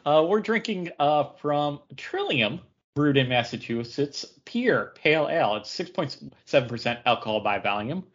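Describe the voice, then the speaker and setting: A man co-hosting a podcast in relaxed, informal conversation. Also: on the high side, moderate pace, muffled recording. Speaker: podcast co-host. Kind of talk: casual chat.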